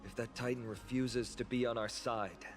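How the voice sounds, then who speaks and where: An actor voicing a calm, serious young hero in a video game, measured and earnest. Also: male voice, medium pitch, lightly acted. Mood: serious, determined. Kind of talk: scripted video game acting. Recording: reverb, game music behind.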